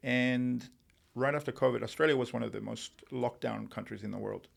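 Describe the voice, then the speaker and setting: A middle-aged man from Uruguay, raised in Spain, telling his story as an interview guest in a relaxed way, with many fillers and restarts. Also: medium pitch, fairly quick, creaky. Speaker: entrepreneur guest. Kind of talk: podcast interview.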